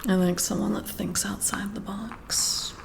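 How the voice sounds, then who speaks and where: A woman playing a flirty, intrigued boss in an ASMR roleplay, whispering slowly and soothingly right up close to the mic. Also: medium pitch, fairly even intonation, background noise. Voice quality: breathy whisper with creaky edges. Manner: slow, deliberate, soothing, intimate. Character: flirty, intrigued female boss giving a personality test. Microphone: close to the mic, whispering into the ear.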